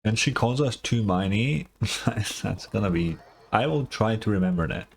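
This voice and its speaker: A man's voice: on the low side, animated, a little slow, creaky, slight background noise. A young man giving a casual live reaction as a gaming streamer. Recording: game music behind the voice. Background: video game music.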